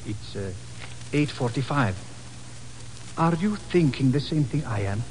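An actor playing a formal, polite, anxious security colonel in a 1950s radio drama, with precise wording. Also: male voice, medium pitch, animated, measured pace, darkish tone, close to an everyday voice. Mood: measured, puzzled. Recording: noisy old 1950s radio recording.

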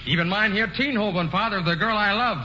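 Casting: a hot-headed young romantic lead, fairly high voice, 1940s radio play. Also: male voice, fast talker, sharp tone, slight background noise. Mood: earnest. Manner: earnest, stammering. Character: hot-tempered young male hero, earnest. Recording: dull old radio broadcast.

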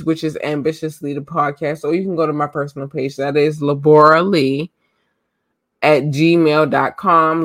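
Woman podcast host, hoarse, casual, and chatty, recorded dry. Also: low-pitched, a bit bright, no echo. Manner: loose and chatty, full of ums and slang. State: losing her voice. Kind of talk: casual live podcast talk.

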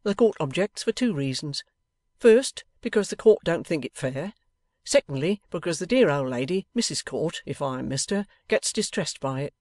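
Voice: mid-range voice, expressive, moderate pace, a little mellow, dry recording, lightly acted. A storybook reader voicing a grave, plain-spoken older husband with an emphatic, firm and homely manner. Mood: firm objection.

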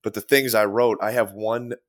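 Deep-voiced man rambling about music. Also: steady tone, normal speed. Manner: rambling, many fillers and restarts, casual swearing. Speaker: podcast guest, former band guitarist. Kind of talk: casual conversation.

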